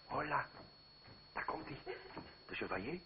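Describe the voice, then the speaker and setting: An actor in a radio play voicing a boisterous, rough-spoken comrade with a hearty, blustering manner. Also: male voice, medium pitch, wildly swooping pitch, a little slow, roomy sound, very noisy. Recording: muffled old radio drama, loud crowd behind.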